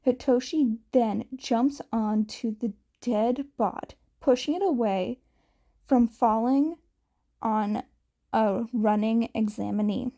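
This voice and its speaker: A woman reads fiction aloud in a slightly high, mellow voice. She takes her time through each sentence, keeping a measured storytelling delivery. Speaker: fan fiction reader. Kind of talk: scripted story narration.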